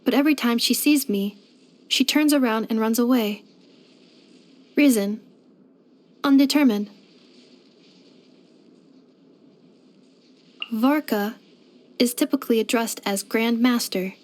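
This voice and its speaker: A woman's voice: a little high, darkish tone, natural voice. A woman voicing a polite, earnest female robot in a video game, speaking formally and precisely. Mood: measured, analytical. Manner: formal, precise, measured delivery with clinical machine-like phrasing. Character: polite female robot maid, earnest, gentle and literal-minded. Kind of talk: scripted video game character voice lines.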